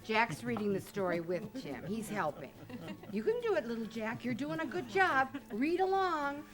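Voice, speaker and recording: A woman's voice: on the high side, big pitch swings, moderate pace. An older woman hosting, chatting casually and coaxingly around a children's story reading. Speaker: host. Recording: music playing behind the voice.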